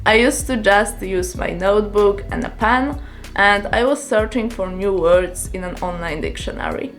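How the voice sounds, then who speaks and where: A woman whose first language is Polish, calmly explaining language-learning tips in English in a scripted vlog. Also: medium pitch, moderate pace, faint music behind. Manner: calm, earnest explaining with numbered tips.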